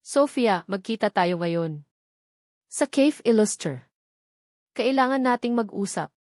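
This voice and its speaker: A woman's voice: medium pitch, expressive, takes it slowly, darkish tone, no room sound, clean studio sound. A Filipino woman playing a wronged wealthy young man in a story, firm, serious and demanding. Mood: firm, accusing.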